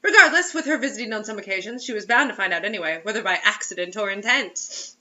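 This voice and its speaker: A woman's voice: mid-range voice, expressive, fast talker, bright, forceful tone, muffled recording. A woman giving a theatrical, giggly, mocking read-aloud of amateur fiction, with asides. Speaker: amateur reader of bad fiction.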